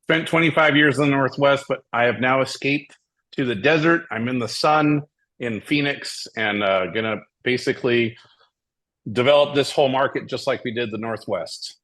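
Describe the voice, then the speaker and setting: A man from Hawaii, a tournament director guest, telling his story in a steady, methodical way. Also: medium pitch, moderate pace. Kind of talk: casual interview.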